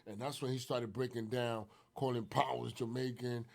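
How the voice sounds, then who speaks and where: A man's voice: mid-range voice, little pitch movement, normal speed, creaky. A man in his forties, born in Haiti and raised in Brooklyn, talking casually as a guest in an interview and filling his speech with "you know what I'm saying". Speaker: guest filmmaker.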